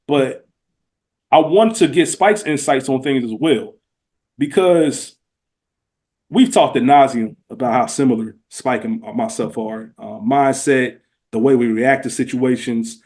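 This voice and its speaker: A man's voice: medium pitch, unhurried, a little mellow. A middle-aged man telling stories in casual, slangy podcast chat, stressing points emphatically and repeating words as he goes. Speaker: podcast guest.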